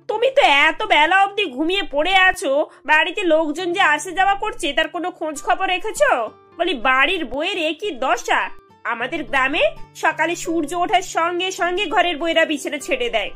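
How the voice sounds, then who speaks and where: A cartoon old village aunt with an extremely high, sharp voice. She nags and complains sarcastically, demanding and hard to please, over faint music. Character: scolding, demanding elderly village aunt-in-law. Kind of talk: animated cartoon dialogue.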